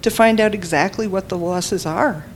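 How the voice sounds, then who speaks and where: A woman calmly explaining background in a group discussion. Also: medium pitch, moderate pace, a little hiss or hum.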